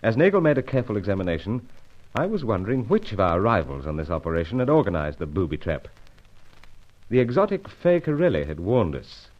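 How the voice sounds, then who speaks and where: A brisk British secret agent. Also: male voice, on the low side, sing-song. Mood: narrating. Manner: clipped, brisk, stiff-upper-lip delivery. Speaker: narrator and lead. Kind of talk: 1950s radio serial narration and acting. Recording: dull lo-fi old radio recording.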